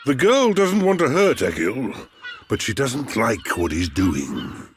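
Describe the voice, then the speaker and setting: An old male villager talks in a folksy, avuncular way in a video game. The delivery is very slow with animated pitch. Faint noise sits in the background. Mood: kindly, encouraging. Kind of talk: scripted video game cutscene acting.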